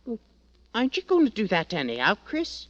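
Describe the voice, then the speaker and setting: An actor playing a plucky, cocky young army fifer with a fond streak, in 1940s radio drama style. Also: male voice, high voice, wildly swooping pitch, normal speed, a bit bright, full character voice. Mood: tender, jaunty. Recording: muffled old radio broadcast.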